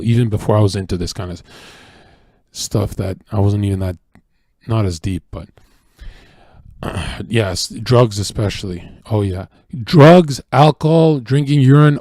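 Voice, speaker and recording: A man's voice: on the low side, mellow, dry recording, clean studio sound. A tired man delivering a rambling, profane, preachy monologue as a streamer, with frequent restarts. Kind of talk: casual opinionated monologue.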